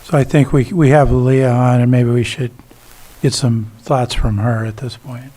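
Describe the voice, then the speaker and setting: A man's voice: medium pitch, fairly even intonation, a little slow, warm tone. A man chairing a public meeting, moderating the discussion and handing the floor to speakers.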